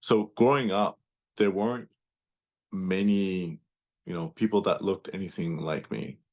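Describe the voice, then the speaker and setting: A man whose first language is German speaks over a video call in a very low, deep voice. He talks slowly and thoughtfully, pausing and restarting as he recalls his past. The delivery is reflective and unhurried. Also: darkish tone. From Turks and Caicos Islands and Germany. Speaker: guest speaker. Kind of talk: personal storytelling.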